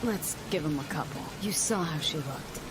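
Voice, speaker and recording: Low-voiced tough woman mercenary. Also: moderate pace, very noisy. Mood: firm. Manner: blunt, clipped. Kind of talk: video game voice acting.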